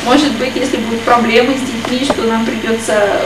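A woman interpreting her husband's sermon and reading scripture aloud in a steady, careful way. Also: on the high side, fairly quick. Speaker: interpreter, preacher's wife. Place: church hall. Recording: echoey hall from far off, noisy.

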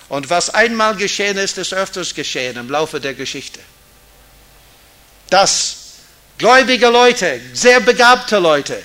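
Slow, high-pitched man teaching. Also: bright, forceful tone. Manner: deliberate, didactic, with rhetorical questions and emphasis. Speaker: Bible teacher. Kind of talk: Bible teaching talk to an audience.

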